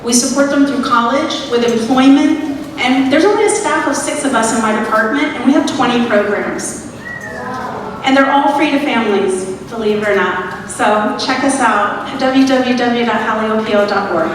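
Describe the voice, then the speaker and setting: A woman's voice: fairly high pitch, moderate pace, a little hiss or hum. Woman with a Southern accent, echoey hall. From Atlanta, US South. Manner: earnest, encouraging, leads the crowd in repeating phrases. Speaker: community speaker at a suicide prevention event. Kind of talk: public speech to an audience. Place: large echoey hall, live event. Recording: echoey hall recorded from far off.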